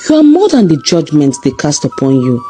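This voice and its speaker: A woman voicing a gentle, loving mother in a folktale, speaking tenderly to comfort her grown daughter. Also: low voice, very animated, fairly quick, soft background music, pitched below the performer's own voice. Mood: tender, encouraging.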